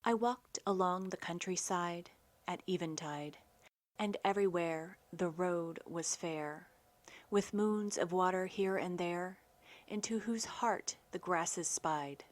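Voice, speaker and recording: A woman hosting a poetry program, reading scripted narration and verse with measured, deliberate phrasing. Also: lowish voice. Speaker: poetry program host and reader.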